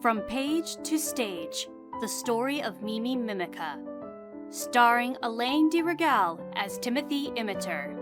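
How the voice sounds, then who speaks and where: A woman's voice: fairly high pitch, animated, unhurried. A woman reading scripted storybook narration and credits for an audio drama. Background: music.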